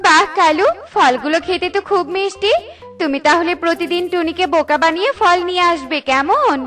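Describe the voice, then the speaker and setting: A woman acts out a gossipy, greedy female crow from a children's cartoon. Her voice is high, conniving and urging, over background music. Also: a little fast, pushed and bright. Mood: pleased, sly. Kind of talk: cartoon character dialogue.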